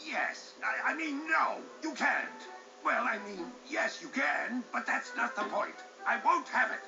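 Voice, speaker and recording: A man's voice: very high voice, sing-song, measured pace, exaggerated voice. A man playing a stern, overprotective old father in a video game, flustered and insistent. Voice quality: creaky. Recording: dull audio under music.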